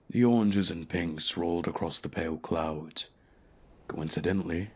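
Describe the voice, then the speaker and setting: A man voicing an ancient, lonely, haughty male dragon in a fantasy audio roleplay, speaking in a formal, measured, courteous way. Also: deep voice. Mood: proud, pleased.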